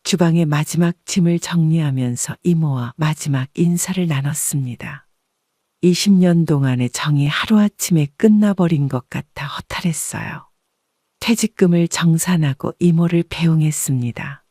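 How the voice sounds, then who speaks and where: A woman reading a first-person story as an audiobook narrator in a measured, composed way. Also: deep voice, warm tone, no echo, clean studio sound.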